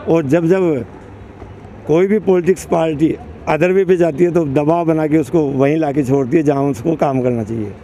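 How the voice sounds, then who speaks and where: A man's voice: on the high side, moderate pace, mellow, a little hiss or hum, rough recording. A man in his sixties answering a street interview, talking earnestly and at length. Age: 60s. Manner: earnest, emphatic, rambling. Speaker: interviewee, longtime reader.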